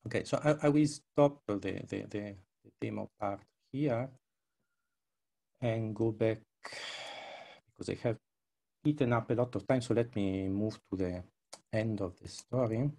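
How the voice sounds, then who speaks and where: An older man who is a non-native English speaker, giving a live demo presentation in a gravelly, husky voice, often repeating words. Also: lowish voice, unhurried, long pauses, mellow. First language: not English. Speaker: researcher presenting his work. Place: indoors, on a video call. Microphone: headset.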